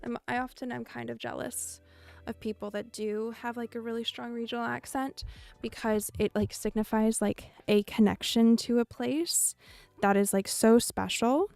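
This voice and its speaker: A woman's voice: on the high side, steady tone, normal speed, vocal fry, a little mellow, no echo. A young American woman chatting casually with viewers. She speaks in a meandering, thoughtful way and laughs often. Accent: General American. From United States. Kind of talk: casual chat.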